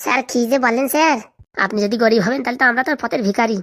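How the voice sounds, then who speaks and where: A woman's voice: a little high, racing, a bit bright. A woman voicing an eager, fawning young male henchman in a comedy cartoon, chattering boastfully with a rural Bengali accent.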